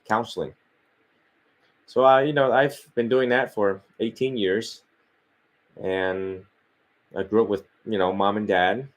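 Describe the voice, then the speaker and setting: A man in his thirties, a monk from Vietnam, speaks with a very low, deep voice. He tells his story in a relaxed, open and unhurried way, like a guest chatting on a podcast. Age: 30s. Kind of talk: casual interview, life story.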